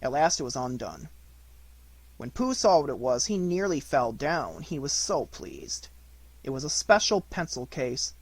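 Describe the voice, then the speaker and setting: Husky, expressive male storybook reader. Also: mid-range voice, a little slow. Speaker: audiobook reader. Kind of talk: expressive scripted children's story narration.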